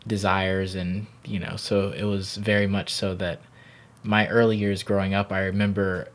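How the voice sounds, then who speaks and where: A man's voice: low-pitched, little pitch movement. A man in his thirties from Barbados, a yoga teacher talking unhurriedly and thoughtfully as a podcast guest. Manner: unhurried, thoughtful, frequent "you know". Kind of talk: reflective podcast interview.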